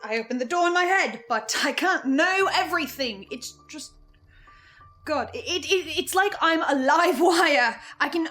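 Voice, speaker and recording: A high-pitched woman's voice over music, anxious and halting, an actress playing someone haunted and guilt-ridden in a horror audio drama. She hesitates and stammers her way through sentences. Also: sharp tone, heavily acted.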